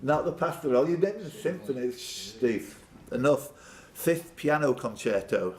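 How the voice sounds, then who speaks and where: A man's voice: medium pitch, lively intonation, unhurried, darkish tone. A man hosting a live pub quiz, reading out questions and bantering with players by name. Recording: clear background noise, live room. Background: room ambience and noise.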